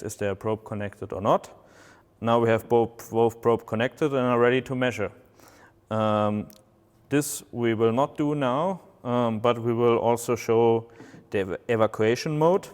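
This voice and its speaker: A man with German as his first language, presenting a product demonstration slowly and haltingly, with many filler sounds. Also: medium pitch, clean tone, long reverb tail.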